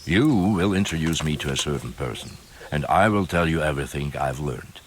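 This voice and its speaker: An actor playing a grim, guarded, world-weary knight with a formal, measured and ominous way of speaking, in a video game. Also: male voice, rumbling low, expressive, slight background noise. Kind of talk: dramatic video game acting. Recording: music behind the voice.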